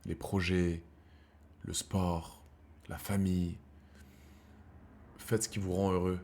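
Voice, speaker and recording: A man's voice: low voice, level tone, slow, slight background noise. Slangy thirty-something man chatting on stream. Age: 30s. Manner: slangy, rambling, addresses viewers as brothers; swings from heated rants to quiet musing. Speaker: streamer host. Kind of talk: casual live-stream chat to viewers.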